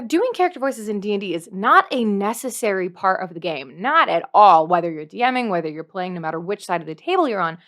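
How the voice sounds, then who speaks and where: A woman's voice: mid-range voice, a bit bright. A woman presenting a scripted how-to video in a playful, emphatic way, repeating points for effect. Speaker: dungeon master giving tips as video host. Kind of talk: scripted instructional video talk to camera.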